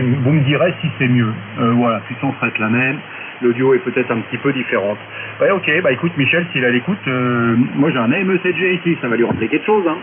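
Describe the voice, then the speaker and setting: A man in a casual, technical amateur radio chat, colloquial and matter-of-fact with hesitations, over a narrow, noisy shortwave link. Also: medium pitch.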